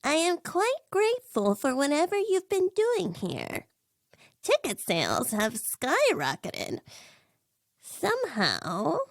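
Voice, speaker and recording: A woman voicing a tall, imperious male circus ringleader in an audio story, with a squeaky helium-like voice and a theatrical, smug manner. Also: huge pitch swings, dry recording, studio quality, heavily acted. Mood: smug, curious. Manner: slow, drawn-out, theatrical, smug. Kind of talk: fan fiction audio story character voice.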